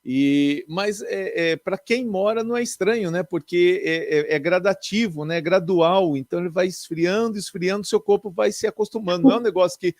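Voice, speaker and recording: A man's voice: high voice, moderate pace, few pauses, a little mellow, dry recording, studio quality. A man, a pastor, hosting an interview in a chatty style with frequent exclamations of praise. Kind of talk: casual interview.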